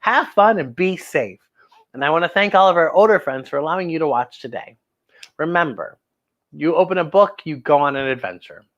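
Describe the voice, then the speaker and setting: A woman's voice: sits low, animated, brisk, lo-fi sound. A woman hosting children's story time, reading a picture book aloud and exclaiming directly to young viewers.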